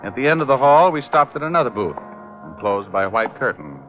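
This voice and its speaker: Guy doing old radio detective, deep voice, dry wisecracks, muffled recording w music. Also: fairly quick, close to an everyday voice. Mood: wry narration. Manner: glib, wry, deadpan quips. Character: wisecracking, flirtatious male private detective narrating his case. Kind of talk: 1940s-50s radio detective acting, hardboiled narration.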